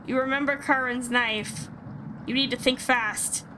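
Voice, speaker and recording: A woman streaming a game, reading the story aloud and breaking in with excitable reactions. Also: high-pitched, measured pace, background noise. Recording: loud music behind the voice. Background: loud game music.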